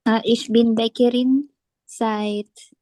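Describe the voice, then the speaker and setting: Give me a halting woman student. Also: fairly high pitch, flat delivery, warm tone, no room sound. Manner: halting, hesitant beginner, many restarts.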